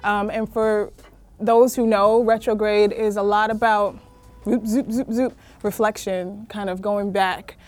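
A woman hosting a live studio interview podcast, playful and lively, fond of wordplay, speaking from a radio studio. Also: medium pitch, measured pace. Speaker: podcast host.

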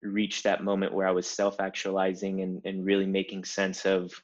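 A very deep-voiced man in his thirties, born in Cuba, speaks as a guest in a relaxed interview. He tells his story earnestly, often restarting phrases as he builds his points. The sound is a little dull and lo-fi. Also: normal speed. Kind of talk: casual interview.

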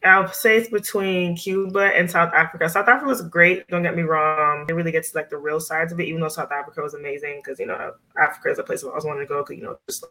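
Bright-voiced woman, chatty and relaxed. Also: sits low, fast talker, hardly any pauses, low-quality recording. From Miami, USA. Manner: chatty, relaxed, lots of fillers like "you know" and "like". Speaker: guest, psychiatric nurse practitioner. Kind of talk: casual interview chat.

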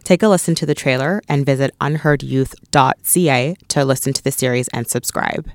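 A male podcast host reading a sponsor message in a clear, scripted delivery. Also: medium pitch, fairly quick. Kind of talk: sponsor read.

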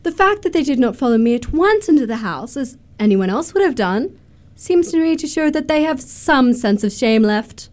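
Woman playing a high-voiced haughty young society lady. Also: very animated, normal speed, hardly any pauses, a little mellow. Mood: indignant, haughty. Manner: precise, declamatory, pompous. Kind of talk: staged radio comedy of manners.

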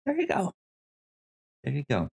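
A man co-hosting a podcast in a casual movie discussion, speaking haltingly and stammering over repeated false starts. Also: a little high, huge pitch swings, creaky, darkish tone, husky. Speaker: podcast co-host.

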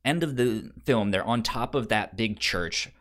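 A man co-hosting a podcast, casually discussing film in a halting way, often repeating syllables and words as he starts. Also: on the low side, expressive, fairly bright tone. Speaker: podcast co-host.

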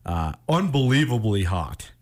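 A man's voice: fairly low pitch, sing-song, unhurried. Chatty man hosting sports radio. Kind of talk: casual radio talk and sponsor reads. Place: radio studio.

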